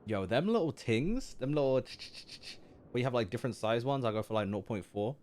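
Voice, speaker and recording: A man's voice: mid-range voice, expressive, fairly quick, darkish tone. A man chatting casually and informally as a streamer reacting to a game, using lots of slang, with music behind. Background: faint game music.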